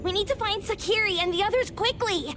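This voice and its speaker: A woman playing an excitable, childlike young female character in an anime video game, with an emphatic, dramatic delivery. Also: very high voice, a little fast, background noise. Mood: excitable, exasperated. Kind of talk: animated anime game voice acting.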